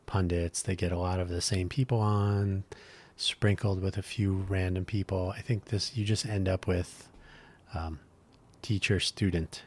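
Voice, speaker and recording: A man's voice: sits low, darkish tone. A middle-aged man hosting a solo podcast, his throat dry and scratchy, rambling thoughtfully with many fillers and restarts. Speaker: podcast host.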